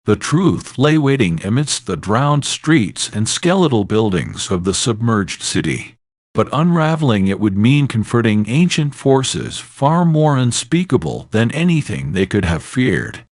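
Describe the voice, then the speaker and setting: A man's voice: medium pitch, sing-song, measured pace, no echo, studio quality. A man narrating a scripted horror story in an ominous, dramatic manner.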